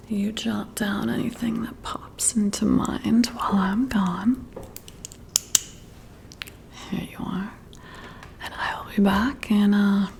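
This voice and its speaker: A woman playing a friendly, competitive female boss working late, in a soft breathy whisper, hushed and gently teasing in an ASMR roleplay. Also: medium pitch, a little hiss or hum. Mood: playful, encouraging.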